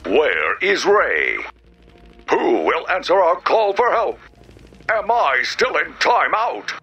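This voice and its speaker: An actor voicing a melodramatic, childlike pest in a video game, with a theatrical, drawn-out delivery. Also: male voice, a little high, very animated, pushed and bright, breathy edge, dull audio, faint music behind, full character voice. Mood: plaintive, dramatic. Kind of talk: video game mission dialogue.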